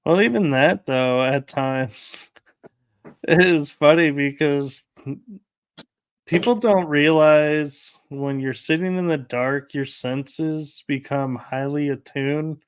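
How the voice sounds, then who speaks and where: Guy host w a smooth clear voice, slow easygoing chat, rambling stories. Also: fairly high pitch. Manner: rambling anecdotes with light laughs. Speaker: podcast host. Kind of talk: casual interview chat.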